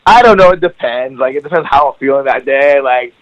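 A man's voice: medium pitch, a little fast, no echo, dull audio. A male wrestler whose first language is Farsi chats loosely as a podcast guest, his mid-range voice wandering through fillers and restarts. The sound is dry and close, with no room around it. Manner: rambling, full of "like" and "um" fillers. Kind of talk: casual podcast interview.

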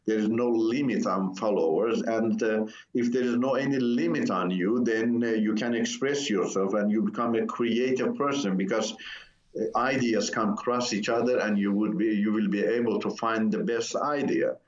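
A low-voiced man in his sixties whose first language is Turkish, speaking in English. He tells his story slowly and hesitantly, often stopping to search for words. The recording is roomy and sounds dull and lo-fi. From Iran. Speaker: interview guest. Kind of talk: personal testimony in a conversation.

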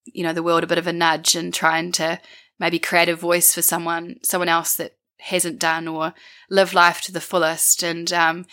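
Croaky New Zealand woman, thoughtful. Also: lowish voice, sharp tone. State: croaky from a winter bug. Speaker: interview guest. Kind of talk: reflective interview conversation.

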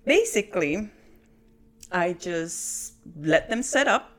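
A woman's voice: on the low side, very animated, takes it slowly, fairly bright tone. A woman in her thirties whose first language is not English, telling a self-mocking story and laughing often while co-hosting a casual podcast chat. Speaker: podcast co-host.

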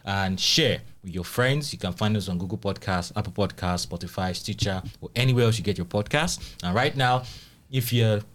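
A man hosts a casual podcast in an easygoing way. His voice sits very low for a man. He moves through plugs and sign-offs in a relaxed tone. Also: normal speed. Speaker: podcast host.

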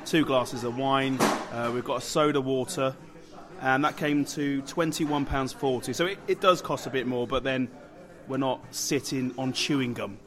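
A man of middling pitch chats as a podcast co-host in a pub. He has had a few drinks and rambles along in a casual, matey way. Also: steady tone, moderate pace, a crowd in the background.

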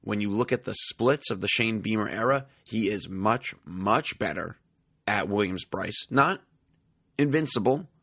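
A young man with a drawl, talking through a sports podcast in a loose, chatty way full of fillers. His voice sits a little low and sounds slightly raspy, recorded close and dry with no room sound. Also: unhurried, a bit bright, no echo. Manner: chatty, conversational, many fillers. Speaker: podcast host. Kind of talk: casual sports podcast monologue.

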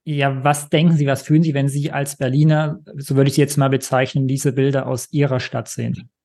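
Man, interviewer, even flat delivery, formal questions. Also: medium pitch, brisk, creaky. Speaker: podcast interviewer, reporter.

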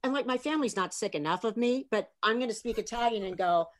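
A middle-aged woman, an author, talking in a lively, chatty way and slipping into bits of Italian. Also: a little high, quick pace. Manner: animated, chatty, slips into Italian phrases. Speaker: author guest. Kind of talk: casual video chat.

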